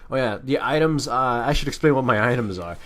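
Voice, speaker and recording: A man's voice: mid-range voice, animated, brisk, a bit bright. A man giving casual, off-the-cuff gameplay commentary, rambling and correcting himself in crude, slangy language. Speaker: gaming video commentator.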